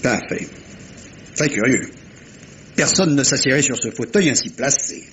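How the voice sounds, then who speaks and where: An actor playing a self-assured, politely probing little Belgian detective in a theatrical French radio mystery. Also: male voice, medium pitch, big pitch swings, normal speed, fairly bright tone, slight background noise. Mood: reasoning, emphatic.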